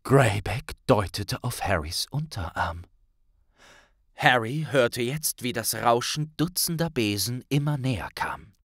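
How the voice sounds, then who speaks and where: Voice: medium pitch, expressive, unhurried, fairly bright tone, no room sound, studio quality. An audiobook narrator reading a dramatic scripted story.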